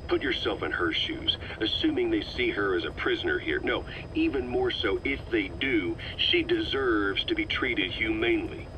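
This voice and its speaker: An actor playing a calm, calculating middle-aged military officer in a video game, speaking with measured, persuasive assurance. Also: male voice, on the low side, noisy background, lightly acted. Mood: firm, challenging. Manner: measured, assured, reasoning patiently. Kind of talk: dramatic game voice acting.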